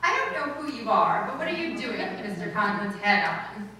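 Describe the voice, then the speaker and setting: A woman playing a wry, wisecracking high school teacher in an old-time radio comedy, with dry comic timing. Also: big pitch swings, moderate pace, natural voice. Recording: very echoey, distant mic, faint noise.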